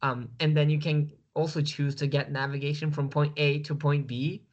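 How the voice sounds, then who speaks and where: A man's voice: fairly high pitch, level tone, normal speed, highs cut off. A man from Turkey with a Turkish accent, a guest speaking over a video call, hesitant and full of fillers.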